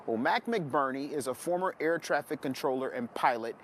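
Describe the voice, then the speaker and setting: A man's voice: medium pitch, lively intonation, normal speed, fairly bright tone. A man with an American accent delivering a TV news report from outside near an airport. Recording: outdoor traffic and ambient noise.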